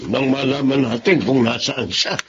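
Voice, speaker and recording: A man's voice: mid-range voice, moderate pace, highs cut off, noisy background, lightly acted. A man with a Filipino accent playing a kindly, concerned older father in a melodramatic radio drama. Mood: fatherly concern.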